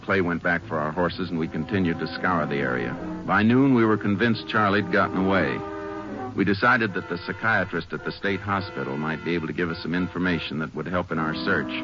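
An actor plays a seasoned Texas Ranger in an old radio drama. His very low voice is steady and laconic. The recording is dull, with music around it. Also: lightly acted. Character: calm, terse, seasoned Texas Ranger lawman. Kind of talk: 1950s radio drama acting and narration.

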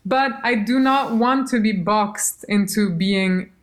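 A woman musician being interviewed, telling stories in a lively, casual way and quoting others as she goes. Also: medium pitch, takes it slowly. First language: German. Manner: animated storytelling, quotes others, laughs. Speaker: musician guest.

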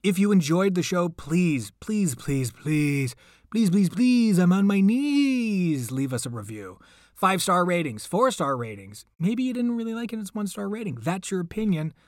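A man's voice: sits high, lively intonation, moderate pace, no room sound, studio quality. A man hosting a podcast, speaking casually and playfully, with emphatic, pleading repetition. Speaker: podcast host.

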